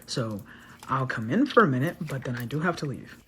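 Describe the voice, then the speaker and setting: A woman's voice: a bass voice, expressive, fairly quick, fairly bright tone, a little hiss or hum. A woman telling a casual first-person story in a blunt, sweary, exasperated manner, acting out the dialogue.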